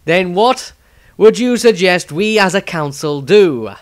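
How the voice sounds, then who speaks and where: A man's voice: high voice, lively intonation, slow pace, voice pushed up. A man voicing a proud male council wizard who speaks tersely and gives way reluctantly. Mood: challenging.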